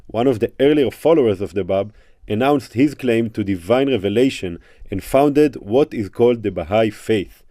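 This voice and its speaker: A man's voice: mid-range voice, mellow, no room sound, clean studio sound. A man with an Israeli accent, conversational and inquisitive, hosting and narrating a travel vlog. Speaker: host.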